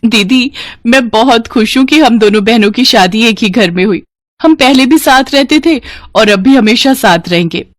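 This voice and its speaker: A woman voicing a gentle, devoted young married younger sister who speaks politely and earnestly in a story. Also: a little high, normal speed, no room sound, studio quality, natural voice. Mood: happy.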